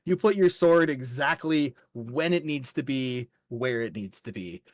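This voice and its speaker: A man acts as game master for a tabletop role-playing session, improvising narration in an informal, colorful way. He takes his time with an unhurried pace and a middle-range voice. The sound is dry and close, with no room echo. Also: medium pitch, expressive.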